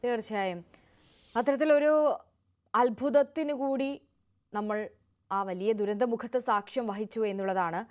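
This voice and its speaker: A woman's voice: fairly high pitch, moderate pace, pauses often, a little mellow. A woman presenting live news as the anchor.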